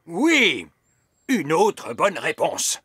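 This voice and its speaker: A man voicing a wise, stern old elf teacher in a children's cartoon, pedantic and measured. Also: fairly high pitch, wildly swooping pitch, bright, forceful tone, slightly rough, no room sound, studio quality, exaggerated voice. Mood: pedantic, approving. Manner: pedantic, measured, drawn-out praise.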